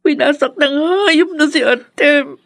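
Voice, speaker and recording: A woman's voice: high voice, fairly even intonation, a little slow, a bit bright. A woman playing a wronged, emotional young woman in a Filipino radio drama. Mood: anguished, tearful. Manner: emotional, pleading, melodramatic. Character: wronged, anguished young woman, tearful but defiant.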